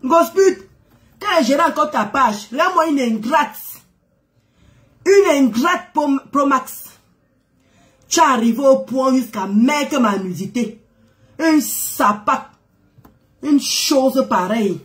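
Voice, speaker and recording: A woman's voice: high-pitched, expressive, takes it slowly, long pauses. A woman with a head cold, chatting casually on a live stream, greeting viewers, teasing and exclaiming with frequent laughs.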